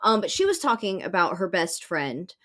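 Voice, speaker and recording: A woman's voice: fairly low pitch, big pitch swings, moderate pace, sharp tone. An American woman chatting casually as a podcast co-host in an informal, conversational way. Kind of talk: casual chat.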